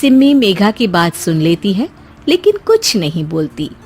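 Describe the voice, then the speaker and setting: A woman narrator reading a scripted animated moral story. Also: medium pitch, normal speed, faint music behind.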